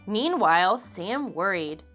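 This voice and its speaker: A woman reading a children's story aloud in an expressive, deliberate storytelling manner. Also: medium pitch, faint music behind. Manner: expressive, deliberate, acts out dialogue. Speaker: children's book narrator. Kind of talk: read-aloud storytelling for kids.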